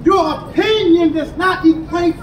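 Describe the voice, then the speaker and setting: An American man teaching a street crowd in call-and-response style, emphatic and rising to shouts. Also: very high voice, measured pace. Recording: echoey and distant, with crowd and traffic. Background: crowd responses and passing vehicles.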